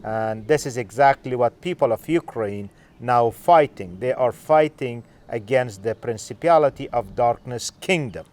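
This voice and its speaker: A man from Afghanistan, hosting a podcast interview and asking questions in halting English full of "uh" fillers. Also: medium pitch, darkish tone. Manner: halting, frequent "uh" fillers, non-native phrasing. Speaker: podcast host.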